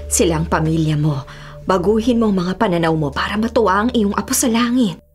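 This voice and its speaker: A woman playing a kindly, reassuring character with a calm, reflective delivery in a Filipino radio drama. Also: mid-range voice, fairly quick, natural voice. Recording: music under the voice.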